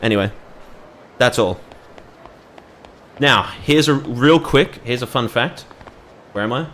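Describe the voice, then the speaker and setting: A man's voice: mid-range voice, slow, fairly bright tone, slight background noise. A man streaming a game, ranting casually with swearing and frequent laughs. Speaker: gaming streamer. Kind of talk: gameplay commentary.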